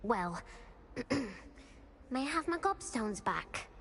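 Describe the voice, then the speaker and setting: A woman's voice: fairly high pitch, very animated, slow pace, fairly bright tone, heavily acted. A woman acting an eager, competitive schoolgirl witch in a video game, with a British accent and formal, theatrical phrasing. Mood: hopeful, surprised. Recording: clear background ambient noise.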